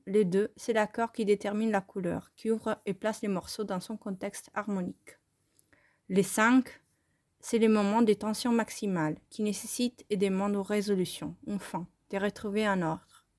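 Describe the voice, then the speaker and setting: Lady reading her own interview in french, calm and measured, mid pitch. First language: Italian. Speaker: photography student presenting her work. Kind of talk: reading a scripted self-interview.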